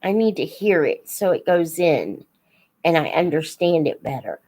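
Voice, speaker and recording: A woman hosting a casual live chat, unhurried and thinking aloud as she talks to her viewers. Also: sits low, sing-song, a little mellow. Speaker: host of a live book Q&A, teacher-like reader.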